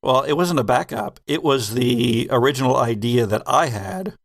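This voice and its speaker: A man's voice: medium pitch, lively intonation, normal speed, creaky, no room sound, clean studio sound. A man chatting casually as the game master of a podcast, looking back on a game. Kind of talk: casual retrospective chat.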